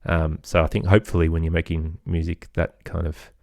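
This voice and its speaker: An Australian man speaking as an interview guest, thoughtful and halting as he searches for his words in reflective conversation. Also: deep voice, flat delivery, gravelly, darkish tone, no room sound, clean studio sound. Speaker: guest, composer and children's podcaster.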